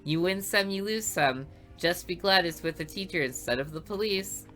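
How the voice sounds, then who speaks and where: A woman's voice: fairly low pitch, moderate pace, a bit bright, soft background music, lightly acted. A woman voicing a carefree schoolgirl friend who talks in a breezy, teasing way.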